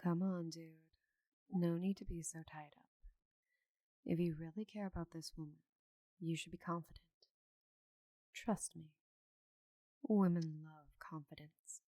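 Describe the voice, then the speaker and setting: A woman playing a giant female orc knight in an intimate ASMR roleplay. She is lowish and breathy, taking her time with every phrase, confident and teasing with a tender, easily flustered side. The sound is close and dry. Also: fairly even intonation, no room sound, close to an everyday voice, poor audio. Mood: encouraging, confident. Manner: slow, soft, intimate delivery with breathy murmurs.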